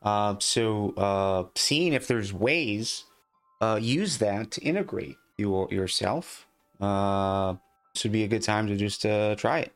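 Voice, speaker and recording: A man with a fairly low voice narrates a tabletop game as its game master. His delivery is improvised and halting, with words often repeated. Soft music plays behind him.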